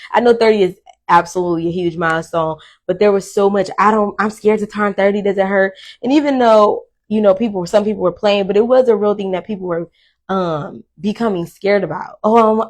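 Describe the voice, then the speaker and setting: Blunt, casual woman in her thirties. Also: medium pitch. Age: 30s. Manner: blunt, candid, swears freely, rambling with many fillers. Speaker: podcast host. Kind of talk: casual solo monologue to viewers.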